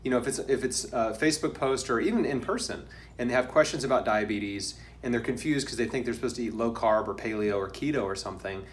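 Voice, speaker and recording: A man hosting a casual video interview, talking informally and haltingly with plenty of fillers. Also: medium pitch. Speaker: host. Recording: faint background noise.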